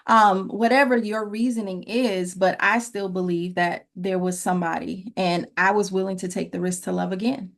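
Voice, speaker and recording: A woman's voice: medium pitch, steady tone, moderate pace, vocal fry, few pauses. A middle-aged woman speaking as an interview guest, earnest and reflective, in a personal motivational style with emphasis on key phrases. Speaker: interview guest, relationship coach.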